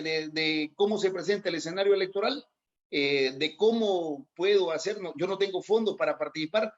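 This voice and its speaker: A man's voice: high voice, a bit bright, muffled recording. A middle-aged man with a Salvadoran Spanish accent, answering political interview questions in a hesitant way, often repeating words. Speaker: interview guest, aspiring legislative candidate.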